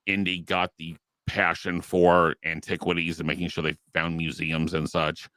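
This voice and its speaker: A man's voice: low voice, level tone, moderate pace, pushed and bright, dry recording. A man giving thoughtful, argued opinions as a panelist in a casual podcast discussion.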